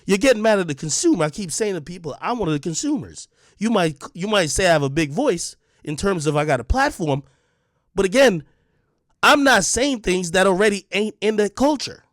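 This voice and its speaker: Young adult man with a slightly high voice, heated rant to camera, dry close mic, confrontational. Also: lively intonation, a little fast, no room sound. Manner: confrontational, heated, repeats points. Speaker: online media personality.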